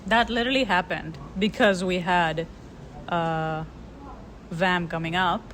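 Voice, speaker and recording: A woman's voice: on the low side, steady tone, unhurried, a bit bright. A young woman co-hosting a casual chat, agreeable and full of small yeahs, stating points plainly. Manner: agreeable, backchannels a lot, then states points plainly. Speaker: co-host. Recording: noisy recording.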